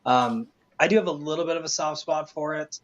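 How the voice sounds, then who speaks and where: A man's voice: fairly high pitch, fairly quick, muffled recording. A man giving his opinions in casual, informal talk as a panelist. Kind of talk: casual movie opinion chat.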